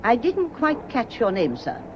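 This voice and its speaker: A woman's voice: fairly high pitch, wildly swooping pitch, measured pace, background noise. An actress playing a devoted, prying woman servant, deferential yet pointed, in a period radio mystery. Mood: guarded, polite. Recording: dull, muffled old radio recording.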